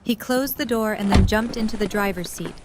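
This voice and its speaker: Woman narrator reading a story for an audio drama, medium pitch, scripted delivery. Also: fairly quick, over loud music.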